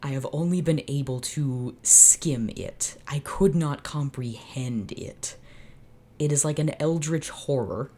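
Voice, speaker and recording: A woman's voice: low-pitched, takes it slowly, dark tone. A woman hosting her channel, reading fanfiction aloud unscripted and reacting as she goes, in a sardonic, dramatic way.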